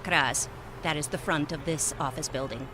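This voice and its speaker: A woman's voice: low-pitched, moderate pace, pushed and bright, a little hiss or hum. A woman playing a strict, impatient alien superior in human form in a comedic audio drama, with stilted, clipped, formal speech. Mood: disapproving. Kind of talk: comedic audio fiction acting.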